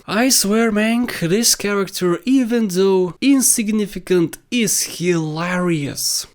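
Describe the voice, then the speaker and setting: Male gaming video host, high-pitched, informal and emphatic commentary. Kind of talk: casual video game countdown commentary.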